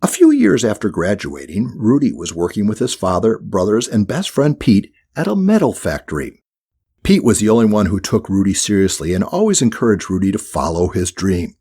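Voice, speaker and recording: A man's voice: on the low side, very animated, normal speed, mellow, husky. A man reading a scripted children's audiobook as the narrator.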